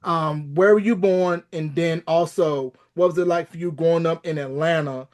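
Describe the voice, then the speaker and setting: A man with an American accent and a high-pitched voice hosts a podcast interview. His phrasing is presentational, like an announcer introducing a show and its guest. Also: steady tone, moderate pace.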